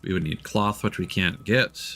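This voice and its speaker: A man's voice: sits low, moderate pace, a bit bright. A man doing casual live gameplay commentary as a streamer, musing and thinking aloud. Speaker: game streamer.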